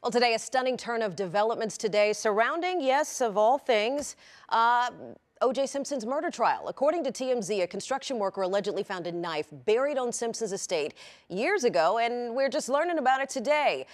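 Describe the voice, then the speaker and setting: A woman's voice: fairly high pitch, lively intonation, brisk. A woman with an American accent anchoring TV news, reading stories and putting questions to a guest.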